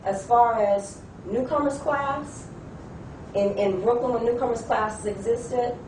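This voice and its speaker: A woman speaks in earnest, piling up details and repeating phrases as she tells her story. She sits far from the mic in an echoey room. There is clear rumbling noise behind her. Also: unhurried. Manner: earnest, listing, repeats herself. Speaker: discussion participant. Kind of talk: testimony in a debate.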